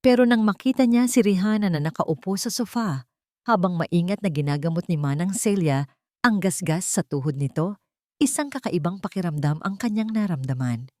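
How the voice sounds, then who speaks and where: Female Filipino narrator for romantic audio fiction: dramatic storyteller, expressive pitch movement, dry studio-like sound. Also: normal speed, no echo.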